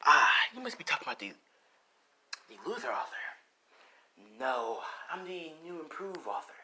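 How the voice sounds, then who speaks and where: A gleeful, menacing clown supervillain, acted out by a man for a superhero story. The voice leaps high and swings wildly, taunting and cackling in a sing-song lilt. There is noticeable noise behind it. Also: very animated, moderate pace, pushed and bright, exaggerated voice. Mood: boastful glee. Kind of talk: improvised superhero story villain.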